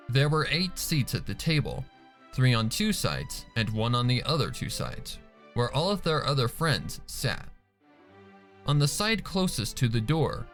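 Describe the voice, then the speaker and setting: A male narrator reads a dramatic story from a script. His delivery is slow and deliberate, with animated pitch, and soft music plays underneath. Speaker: fan fiction reader and narrator.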